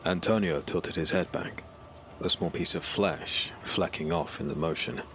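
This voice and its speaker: A man narrating a dark fantasy audiobook in a solemn, dramatic, scripted style. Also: deep voice, measured pace, slight background noise. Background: background music.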